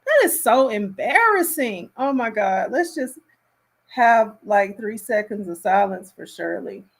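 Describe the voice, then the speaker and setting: A woman gives casual, chatty commentary as a reaction host. She talks slowly with halting phrasing, landing words with appalled emphasis. Her pitch sits in a middle range. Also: very animated, creaky, slightly rough.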